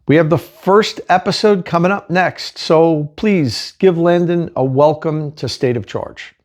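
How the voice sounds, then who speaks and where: A man hosting his own channel, giving an earnest, measured scripted introduction. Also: fairly high pitch, a little mellow. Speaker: channel host.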